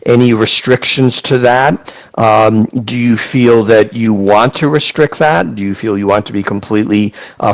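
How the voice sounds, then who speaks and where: A man with a lowish voice runs an online class over a video call. He reads chat questions aloud and heaps repeated praise on them. His delivery is effusive and a little wordy. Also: poor audio. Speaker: class host and moderator.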